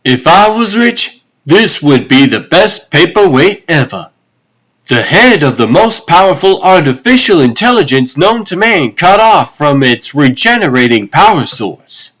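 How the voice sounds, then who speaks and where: A wisecracking young agent sidekick, voiced by a man, pitched up and swinging around with indignation. He is sarcastic and exasperated, in comic-book dub style. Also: big pitch swings, measured pace, a bit bright, exaggerated voice, rough recording. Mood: sarcastic, geeky.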